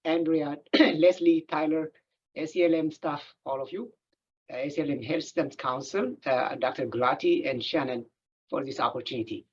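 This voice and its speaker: A man's voice: a little high, a little hiss or hum. Ethiopian-accented man on a muffled call. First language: not English; English is his third language. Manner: halting, with frequent filler sounds. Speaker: endocrinologist presenter. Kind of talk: webinar presentation. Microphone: video call.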